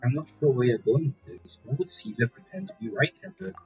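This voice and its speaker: An actor playing a puzzled, questioning man in a video game, with a husky voice. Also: medium pitch, animated, fairly quick, a little mellow, dull audio, soft background music. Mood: puzzled, speculative.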